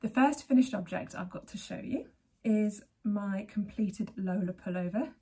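A woman's voice: medium pitch, moderate pace, mellow, slightly rough. A woman in her thirties from England, chatting casually to camera as host of a knitting podcast from her living room, rambling and laughing often. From Berkshire, England. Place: sunny living room at home. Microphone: camera at desk distance.

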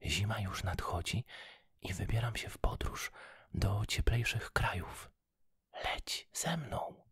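A man voicing a kind female swallow in a fairy tale, speaking in a gentle whisper. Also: on the low side, slow pace, husky, no echo, clean studio sound, exaggerated voice. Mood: comforting.

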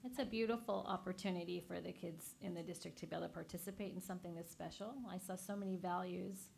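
A woman's voice: fairly low pitch, fairly even intonation, quick pace, vocal fry, darkish tone. A woman board trustee earnestly backing a motion for a student program. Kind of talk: board meeting remarks.

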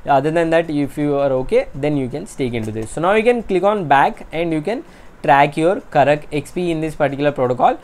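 A man with an Indian accent narrates a desktop screen recording as a channel host. He walks through each step in a plain, instructional way, pausing often on filler sounds as he goes. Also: on the high side, lively intonation, a little mellow. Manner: step-by-step explanatory, frequent filler hesitations. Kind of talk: screen-recorded crypto tutorial. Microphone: voice-over on desktop screen capture.

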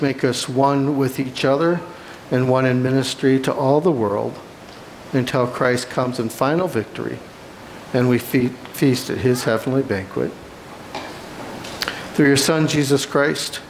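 A man leads a communion prayer at a steady, middle pitch. He reads in a measured, solemn way, with a faint hiss behind him. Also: rough recording. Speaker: pastor. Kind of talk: reading a communion liturgy. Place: church sanctuary.

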